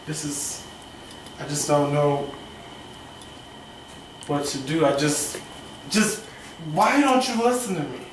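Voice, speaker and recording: A young actor playing a hurt boyfriend in an amateur short film, pleading haltingly against a breakup. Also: mid-range voice, expressive. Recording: very echoey room, distant mic, faint noise.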